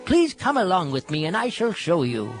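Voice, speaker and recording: A man's voice: sits high, wildly swooping pitch, normal speed. A man reading the part of a helpful temple doorkeeper in a devotional story, eager and inviting. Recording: sitar music behind the voice.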